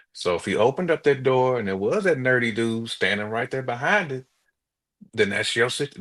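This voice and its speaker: Low-voiced man in casual podcast chat. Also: fairly bright tone. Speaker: podcast co-host.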